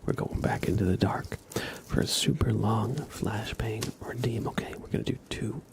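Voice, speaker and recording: A man doing ASMR on a live stream in a breathy, hushed whisper, speaking gently and softly. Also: on the low side, normal speed. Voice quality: breathy whisper with soft-spoken stretches. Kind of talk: ASMR, whispered relaxation and guided imagery.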